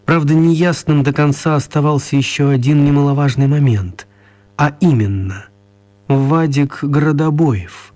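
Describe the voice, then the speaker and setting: A man narrating a children's radio story as a wry storyteller, expressive and mock-serious, with deliberate pauses. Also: fairly high pitch, natural voice.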